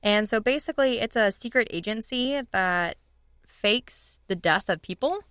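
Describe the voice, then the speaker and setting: A woman's voice: on the high side, expressive, normal speed, fairly bright tone, clean tone, dry recording, studio quality. A young woman chatting casually as a guest on a podcast. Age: young adult. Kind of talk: casual chat.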